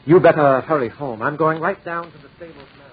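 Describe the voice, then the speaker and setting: An actor playing a measured, open-minded gentleman doctor in a 1940s radio drama. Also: fairly high pitch, a little hiss or hum, close to an everyday voice. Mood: inquiring. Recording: muffled, tinny 1940s radio recording.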